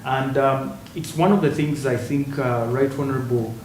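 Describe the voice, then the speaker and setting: A man leads a studio panel discussion in a relaxed, conversational way, often doubling his words as he starts a thought. He sits a little back from the mic in a roomy studio with faint hiss behind him. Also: medium pitch, moderate pace, recorded from a distance. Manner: conversational, repeats words when starting a point. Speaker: TV talk show host.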